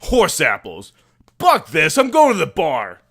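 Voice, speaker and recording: Man acting loud, angry drunkard cartoon stallion. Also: on the high side, huge pitch swings, a little slow, pitched above the performer's own voice, heavily acted. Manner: shouting, cursing. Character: angry hard-drinking male cartoon pony husband.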